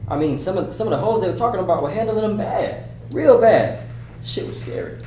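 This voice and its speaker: A man's voice: sits high, expressive, a little fast, mellow. A man playing a guarded, dismissive young man with slangy, curt speech in a table read. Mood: scoffing. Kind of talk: scripted dialogue read aloud. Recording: echoey room, distant mic, clear noise.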